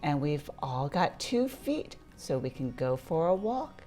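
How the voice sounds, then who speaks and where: Woman, children's read-aloud storyteller: low voice with animated swings, unhurried delivery, playful and interactive, music behind. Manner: playful, asks listeners questions and points things out. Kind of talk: read-aloud picture book narration for young children.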